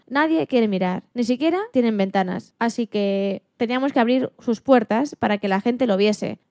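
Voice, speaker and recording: A woman's voice: a little high, darkish tone, no echo, close to an everyday voice. A woman playing an animal liberation activist and mother who tells her story earnestly in an acted radio interview, stumbling slightly now and then. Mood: earnest, indignant.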